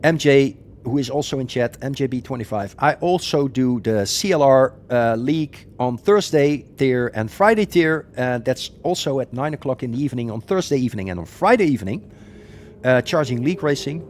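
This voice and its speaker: A man's voice: medium pitch, lively intonation, normal speed, a little mellow. A man from the Netherlands with a Dutch accent, chatting casually on a gaming live stream with many ums and uhs.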